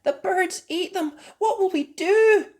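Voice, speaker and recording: Storyteller putting on a very high little child. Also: takes it slowly, a little mellow. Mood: worried. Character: small innocent child from a fairy tale.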